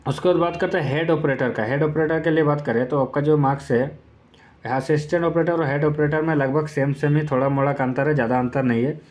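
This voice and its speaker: A man's voice: fairly high pitch, steady tone, quick pace, darkish tone. A man gives an informal exam-prep explainer, going step by step with repeated points and filler phrases. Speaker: exam-prep YouTube presenter.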